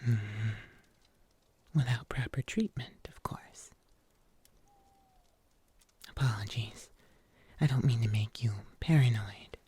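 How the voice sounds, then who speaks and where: A man playing a gentle, solicitous huntsman healer in an ASMR roleplay, in a soft whisper with careful pauses. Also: mid-range voice, breathy edge, dry recording. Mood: soft concern. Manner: slow, hesitant, careful delivery with long pauses. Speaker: ASMR performer.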